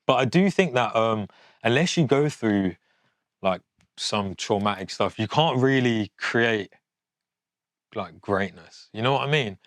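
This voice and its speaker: A young man in his twenties with a fairly low voice. He talks off the cuff, candid and matter-of-fact, like a designer chatting to a documentary camera. The sound is close and dry. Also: expressive, unhurried, no room sound. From England, born in Yorkshire with family from Pakistan. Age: 20s. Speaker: fashion designer, documentary subject. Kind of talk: casual, unscripted talk to camera.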